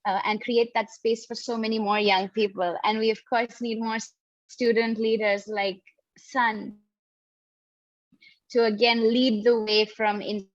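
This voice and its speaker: A woman's voice: fairly high pitch, little pitch movement, unhurried, no echo. A young woman on a panel giving earnest, encouraging advice over a video call, often using "like" as a filler. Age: young adult. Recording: dull lo-fi sound.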